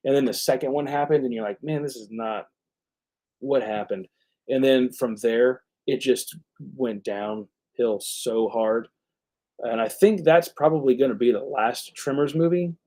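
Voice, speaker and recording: A man hosting a live stream, chatting casually and rambling, often halting as he searches for words. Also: mid-range voice, mellow. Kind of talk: casual rambling movie chat.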